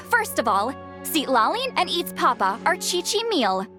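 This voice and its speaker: A woman's voice: high voice, big pitch swings, measured pace, music in the background. A woman voicing a prim, easily flustered noble lady in a video game, who sighs and protests fussily. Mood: exasperated. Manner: exasperated sighs, fussy and defensive. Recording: game score playing behind.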